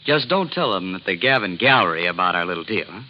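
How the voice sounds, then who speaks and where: Sly cynical American crook type, low guarded voice, slangy delivery, 1950s radio drama, dull recording. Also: male voice, expressive, fast talker. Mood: sly, scornful. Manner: sly, colloquial, defensive. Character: shifty, cynical male painting owner, guarded and defensive. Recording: dull vintage radio recording, faint noise.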